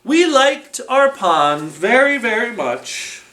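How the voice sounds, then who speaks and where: A children's library storytime presenter reading a story aloud in a deliberate, expressive way, recorded in a roomy space at some distance from the microphone. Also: mid-range voice. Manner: slow, deliberate, expressive read-aloud delivery. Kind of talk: animated storytelling with felt board.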